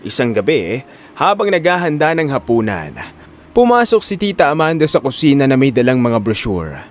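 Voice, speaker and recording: A man's voice: mid-range voice, big pitch swings, normal speed. A man narrating a scripted audio drama in a dramatic storytelling style.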